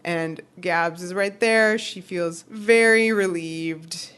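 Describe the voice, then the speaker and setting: A woman's voice: on the low side, slow pace, a bit bright, clear, resonant tone. A woman co-hosting a podcast, chatting casually through a TV recap in a giggly, conversational way peppered with "like.". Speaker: podcast co-host.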